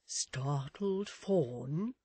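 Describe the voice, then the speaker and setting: A genteel lady companion, acted by a woman narrator, speaking very slowly with a slightly breathy tone. She is deferential and soothing, drawing out syrupy sympathy as in a Victorian novel reading. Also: deep voice, expressive, warm tone, no echo, full character voice. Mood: tentative suggestion.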